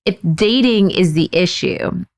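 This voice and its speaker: A woman co-hosting a casual podcast, upbeat and quick, laughing often as she opens the show. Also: on the low side, no echo. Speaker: podcast co-host.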